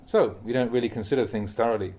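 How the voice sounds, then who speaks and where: A man with a medium-pitched voice reads Buddhist teachings aloud. His delivery is measured and expressive, in the manner of an audiobook of a spoken dharma talk. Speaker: Buddhist monk reading translated teachings aloud.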